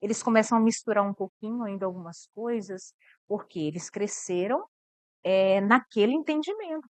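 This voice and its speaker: Earnest Brazilian woman missionary, crisp voice. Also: mid-range voice, dry recording. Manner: earnest, repeats words as she thinks. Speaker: missionary guest. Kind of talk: interview about mission work.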